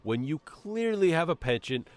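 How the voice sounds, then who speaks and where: A man's voice: fairly high pitch, big pitch swings, moderate pace. A man acting as a stern, condescending police commissioner in an audio drama, sardonic and authoritative with formal phrasing. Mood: scornful. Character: stern, bureaucratic male police commissioner, condescending to his former partner.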